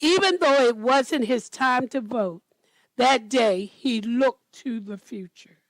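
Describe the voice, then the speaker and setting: A woman storyteller reading a children's picture book aloud in a deliberate manner. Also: on the high side, no room sound, poor audio.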